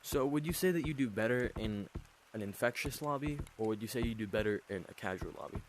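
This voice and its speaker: A thirteen-year-old boy with an unusually deep voice, hosting a casual interview with fillers and long rambling questions. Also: animated, fast talker, background noise. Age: 13, teenage boy. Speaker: host interviewing players.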